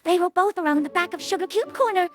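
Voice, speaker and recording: A woman's voice: extremely high pitch, fast talker. A woman voicing a refined, fussy lady unicorn pony, speaking in a formal, dramatic way in a cartoon audio drama. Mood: indignant. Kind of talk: animated cartoon-style audio drama dialogue.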